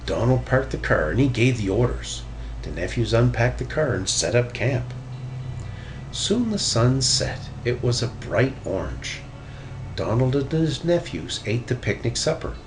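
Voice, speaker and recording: Man reading a children's story, animated pitch. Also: a little slow, noisy background. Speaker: storybook reader. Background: music.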